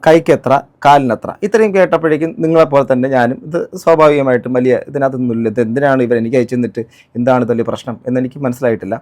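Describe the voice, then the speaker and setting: A man's voice: mid-range voice, fairly even intonation, rapid-fire, darkish tone. A man giving spoken commentary on a clip, indignant and full of rhetorical questions. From Kerala, India. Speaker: reaction vlogger.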